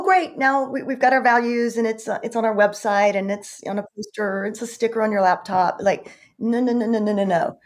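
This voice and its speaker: A woman's voice: medium pitch, vocal fry, husky. A woman answering as a podcast guest in conversation, speaking emphatically and often repeating words as she goes. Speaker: podcast guest, marketing executive.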